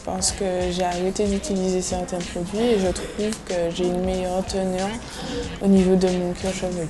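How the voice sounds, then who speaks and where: A woman's voice: on the low side, flat delivery, moderate pace, noisy background. A woman contestant giving a matter-of-fact account of a hair class. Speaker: pageant contestant. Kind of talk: interview testimony. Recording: music bed underneath.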